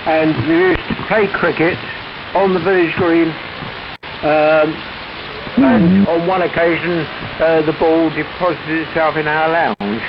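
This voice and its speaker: A man's voice: a little high, steady tone, measured pace. An older man chatting casually and reflectively as an amateur radio operator over a shortwave radio transmission. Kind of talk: reminiscing casual radio chat. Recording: muffled shortwave radio with static.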